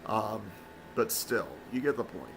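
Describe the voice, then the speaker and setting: A man's voice: lowish voice, animated, a little slow, background noise. A man streaming a video game, chatting casually with lots of fillers and restarts. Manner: rambling, many fillers and restarts. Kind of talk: casual let's-play commentary.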